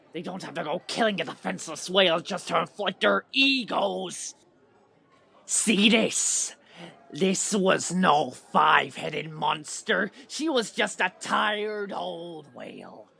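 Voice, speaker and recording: An actor playing a timid, whiny young pirate crewman in an audio drama, with a strained, raspy voice. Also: sits high, bright, forceful tone, full character voice. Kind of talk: theatrical audio drama dialogue.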